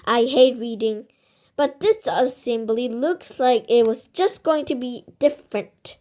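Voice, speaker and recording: A woman reading a children's storybook aloud in a halting way, stumbling over some words. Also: fairly high pitch, dark tone. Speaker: amateur book reader.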